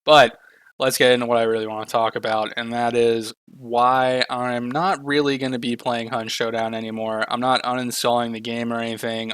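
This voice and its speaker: A man's voice: medium pitch, fairly bright tone, clear, resonant tone, no room sound. A man, sick and losing his voice, ranting casually and heatedly about a game, swearing often. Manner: frustrated, swears often, builds to heated outbursts. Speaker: gaming video creator.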